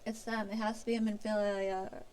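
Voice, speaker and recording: A woman's voice: mid-range voice, flat delivery, fairly quick, a little mellow, slight background noise. A woman streamer reading fantasy game story dialogue aloud in a theatrical, formal delivery. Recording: music playing behind the voice.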